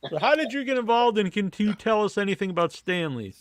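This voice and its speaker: A man hosting a casual podcast interview, speaking in a halting way that often trails off. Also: high-pitched, lively intonation, a little hiss or hum. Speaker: podcast host. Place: remote group stream.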